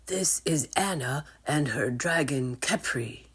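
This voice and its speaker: A woman voicing an old male wizard, a calm and measured mentor, in a children's storybook reading. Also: low-pitched, breathy edge, exaggerated voice. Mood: calm, instructive.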